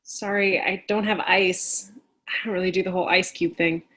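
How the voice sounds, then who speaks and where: A woman's voice: fairly low pitch, fairly even intonation, normal speed, a bit bright, close to an everyday voice, poor audio. A woman playing a sarcastic, supportive friend in a play reading, with dry, joking delivery. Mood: casual.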